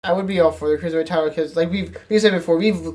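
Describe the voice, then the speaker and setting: Podcast panelist, dark mellow timbre, mid range, casual conversational delivery. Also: medium pitch, very fast. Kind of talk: casual wrestling talk.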